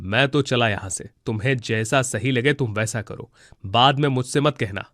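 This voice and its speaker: A man's voice: medium pitch, moderate pace, fairly bright tone, no room sound, studio quality, natural voice. An actor playing a stern, indignant elderly husband and father in a cartoon moral story, scolding and reproachful. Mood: angry, distrustful.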